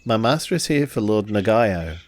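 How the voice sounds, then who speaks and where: A man's voice: lowish voice, lively intonation, moderate pace, a little mellow, no room sound. A man playing a tabletop roleplaying game, narrating and speaking for his character.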